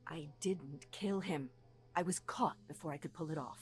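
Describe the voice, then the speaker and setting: An actress playing a defiant, hard-bitten hired assassin held prisoner in a video game, tough and bitter. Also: female voice, low voice, moderate pace, natural voice. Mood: bitter, resigned. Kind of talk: scripted video game dialogue. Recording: music behind the voice.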